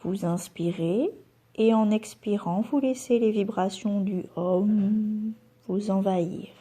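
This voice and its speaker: Mellow-voiced woman guiding relaxation. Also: medium pitch, breathy edge. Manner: calm, soothing, measured instructions with pauses. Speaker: yoga nidra instructor. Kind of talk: guided relaxation meditation.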